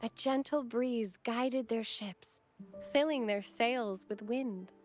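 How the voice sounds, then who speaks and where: A woman voicing a gentle young female acolyte in a game, courteous and devout, with pleasant, clearly enunciated, formal delivery. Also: a little high. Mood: solemn, reverent. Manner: formal, measured storytelling. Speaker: game character, guide. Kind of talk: scripted game dialogue and legend narration. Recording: background music under voice.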